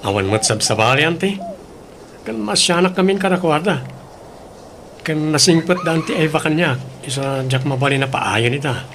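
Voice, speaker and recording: A man's voice: a little high, lively intonation, moderate pace, fairly bright tone, a little hiss or hum. A man playing a polite, good-natured nephew in an Ilocano radio drama, hesitant and deferential with an Ilocano Filipino accent. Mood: respectful.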